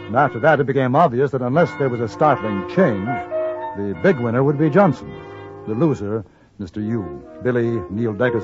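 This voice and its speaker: A man performs a well-spoken English journalist in a fifties radio western. His medium-pitched voice moves expressively while staying courteous and measured. The old recording is dull, with music behind. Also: lightly acted. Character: polite, reserved English newspaperman narrating frontier adventures. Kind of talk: 1950s radio drama narration and acting.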